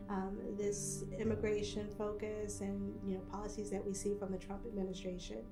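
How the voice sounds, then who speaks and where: A woman's voice: medium pitch, monotone, moderate pace. A woman speaking earnestly and conversationally in an interview. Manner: earnest, conversational with "you know". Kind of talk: documentary interview. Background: music.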